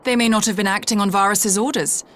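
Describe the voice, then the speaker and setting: An intense young noblewoman, voiced by an actress in a game cutscene, medium in pitch. Her speech is formal and pointed, over faint background noise. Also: steady tone, quick pace, a bit bright, close to an everyday voice. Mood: grim, pointed. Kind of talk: video game cutscene voice acting.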